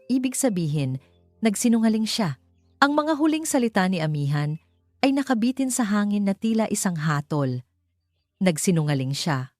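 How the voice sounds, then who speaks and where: A woman's voice: lowish voice, darkish tone. A woman giving a dramatic, scripted narration of a romance story.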